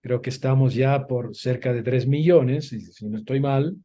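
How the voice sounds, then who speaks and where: A man's voice: mid-range voice, normal speed, mellow. An older man from Italy, native Italian speaker, answering interview questions in a thoughtful, hesitant way with frequent restarts. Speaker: guest academic.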